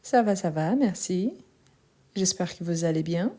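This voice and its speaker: A woman teaching French in a live online lesson, speaking slowly and clearly and repeating phrases for learners. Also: on the low side, expressive, warm tone. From France and Brazil.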